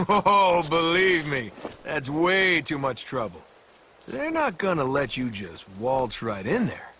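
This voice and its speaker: A man's voice: a little high, big pitch swings, slow pace, pushed and bright, slight background noise, heavily acted. A young man voicing a brash, flippant gun-for-hire in a video game, cocky and colloquial.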